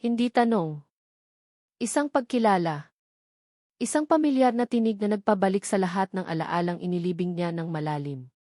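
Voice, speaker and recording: A woman's voice: medium pitch, moderate pace, long pauses, dark tone, dry recording, studio quality. A woman with a Filipino accent reading a dramatic romance story as a scripted narrator.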